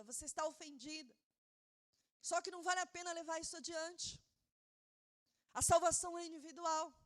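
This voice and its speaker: A woman pastor preaching a sermon, fervent, rising to shouted emphasis, with some stumbles and repeated words; Portuguese is not her first language. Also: high-pitched.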